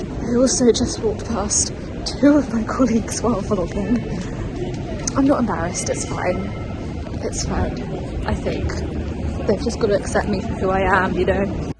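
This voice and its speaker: A woman's voice: mid-range voice, low-quality recording. A British woman in her twenties chatting casually to camera in a self-deprecating vlog style. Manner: chatty, self-deprecating, repeats phrases for emphasis. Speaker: vlogger.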